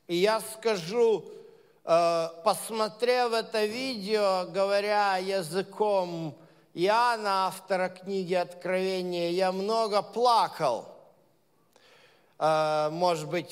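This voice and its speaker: A man's voice: sits high, clear, resonant tone. A man leading his congregation in an informal sermon, talking conversationally with many hesitations and building to emphatic points. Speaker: leader of a Messianic congregation. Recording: long reverb of a large hall.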